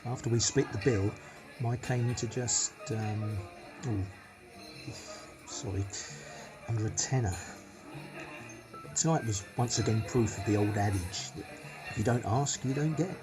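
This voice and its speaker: A man's voice: lowish voice, unhurried, long pauses, over music, natural voice. An actor with a British accent playing a self-satisfied, penny-pinching money-saving vlogger who chats matter-of-factly to his viewers in a radio play. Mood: smug, matter-of-fact. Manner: chatty, matter-of-fact, addressing viewers. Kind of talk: radio play monologue as a vlog.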